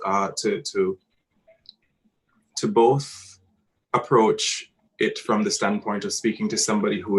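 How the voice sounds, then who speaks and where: A man with a Jamaican accent speaking earnestly and haltingly, searching for words as he reflects on his creative work. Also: lowish voice, a bit bright, rough recording. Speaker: theatre director discussing his work. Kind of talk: reflective talk about a creative piece.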